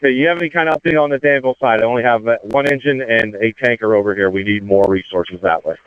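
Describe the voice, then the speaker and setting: A man's voice: mid-range voice, quick pace, clear, resonant tone, dry recording. A man giving terse, procedural orders as a fire incident commander over two-way radio. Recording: tinny, band-limited fire radio transmission.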